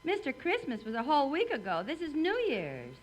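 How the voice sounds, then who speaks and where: A woman's voice: high-pitched, wildly swooping pitch, brisk. An actress playing a wry, teasing, skeptical woman friend in a 1950s radio comedy. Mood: incredulous, scolding. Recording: old radio recording, faint noise.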